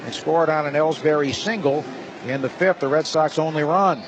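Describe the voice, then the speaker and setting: A man's voice: fairly high pitch, moderate pace, lo-fi sound. A man doing live play-by-play baseball commentary on the radio in clipped, descriptive phrases. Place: ballpark broadcast booth. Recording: noisy live stadium broadcast. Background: clear stadium ambience.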